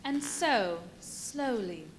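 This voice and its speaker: A woman narrating a suspense tale in a live radio play with measured, formal literary delivery. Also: on the high side, lively intonation, rough recording. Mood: measured, dramatic. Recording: live stage, faint noise.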